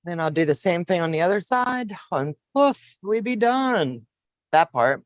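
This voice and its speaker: A woman in her fifties hosting a sewing livestream, chatting warmly with viewers and explaining each step as she works. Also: lowish voice, expressive, unhurried, dry recording, studio quality. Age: 50s. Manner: homey, calls viewers baby, narrates each step. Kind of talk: casual sewing demonstration chat.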